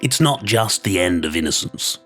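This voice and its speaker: Male actor as world-weary storybook man, sceptical, low voice, lively intonation, music underneath. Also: moderate pace. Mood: wistful. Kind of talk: audio drama acting.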